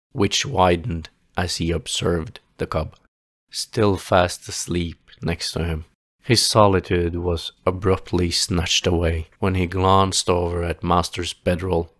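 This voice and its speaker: A man narrating a fantasy story as a scripted audio play, with a solemn, measured, literary storytelling delivery. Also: deep voice, level tone, fairly bright tone, no echo, studio quality. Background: music.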